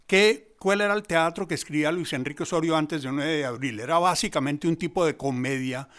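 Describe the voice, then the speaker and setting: A man's voice: fairly high pitch, brisk, fairly bright tone. A man commenting in an informal lecture style, listing points and posing rhetorical questions. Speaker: commentator on theatre history.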